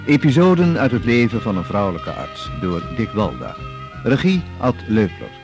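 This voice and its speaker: A man's voice: lowish voice, very animated, moderate pace. A man reading a scripted title announcement for a radio drama, over music. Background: guitar music.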